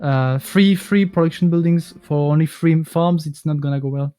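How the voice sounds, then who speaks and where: Halting man breaking down game strategy. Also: on the high side, mellow, smooth. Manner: halting, explanatory, with many restarts. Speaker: co-caster. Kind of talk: game analysis commentary. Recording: music playing behind.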